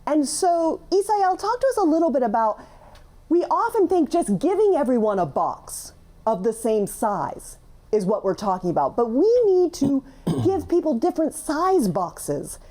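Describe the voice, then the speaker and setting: A woman's voice: high-pitched, big pitch swings, normal speed, mellow. A woman hosting a televised panel discussion, introducing guests and asking questions in an upbeat way. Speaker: host.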